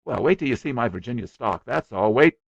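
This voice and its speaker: A man's voice: medium pitch, expressive, brisk, gravelly, no room sound, lo-fi sound. A man voicing a stubborn, boastful small boy who chatters eagerly. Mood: eager boasting.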